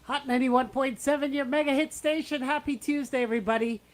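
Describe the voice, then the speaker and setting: A woman with a Bahamian accent presenting a radio segment in an upbeat, conversational hosting style with shout-outs. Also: high voice, fairly even intonation, fast. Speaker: radio host.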